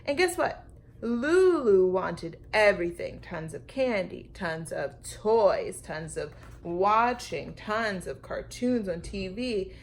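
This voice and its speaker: A woman reads to young children in an expressive storytime style. She takes her time, stressing words and pausing for effect, in a mid-pitched voice. There is slight noise behind her. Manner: animated, emphatic, with dramatic pauses and questions to young listeners. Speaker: storytime teacher reading to children. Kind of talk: expressive children's read-aloud.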